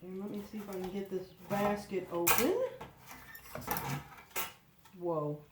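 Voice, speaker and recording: A woman's voice: medium pitch, fairly even intonation, measured pace, heavy background noise. A middle-aged woman with a slight head cold, rambling chattily through a live online product demo from her kitchen as a direct-sales consultant. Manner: chatty and rambling, chuckles often.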